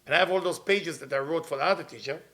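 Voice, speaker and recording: Man in his 50s, slightly high voice, earnest teacher who repeats himself. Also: fast talker, a bit bright. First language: Hebrew. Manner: earnest, repeats phrases, non-native grammar. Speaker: kabbalah teacher. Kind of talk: weekly spiritual talk.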